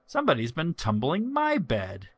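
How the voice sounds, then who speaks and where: A man's voice: on the high side, wildly swooping pitch, normal speed, a bit bright, voice pushed up, full character voice. Man as a complaining middle-sized storybook bear. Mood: puzzled, complaining.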